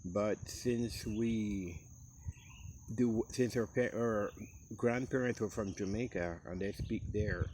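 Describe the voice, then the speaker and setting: A man with a Costa Rican Caribbean English accent talks in a slow, unhurried, casual storytelling way. His voice sits fairly low, and he jumps higher when he mimics other people. Faint insects chirp behind him. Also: slight background noise. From Costa Rica, Caribbean coast. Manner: relaxed, acts out quoted speech in a higher voice.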